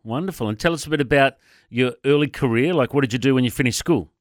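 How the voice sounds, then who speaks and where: Aussie radio host guy, dry close mic, asks short easy questions, middle pitch. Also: quick pace, no room sound. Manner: prompting with short, simple questions. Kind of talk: friendly radio interview.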